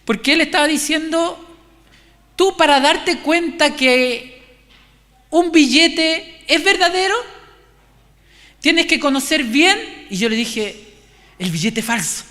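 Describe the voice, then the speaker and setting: A man with a Chilean accent giving an informal, conversational sermon, haltingly repeating and restarting his phrases. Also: very high-pitched, sharp tone. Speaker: preacher. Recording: echoey hall, long reverb.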